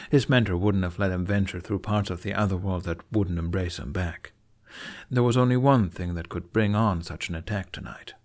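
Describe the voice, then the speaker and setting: A man narrating a scripted fiction story expressively, as an audiobook reader. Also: fairly low pitch. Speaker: narrator of a fan fiction podfic.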